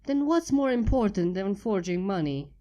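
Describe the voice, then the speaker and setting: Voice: medium pitch, sing-song, moderate pace, dark tone, lightly acted. A fanfiction reader voicing an earnest young female unicorn scholar.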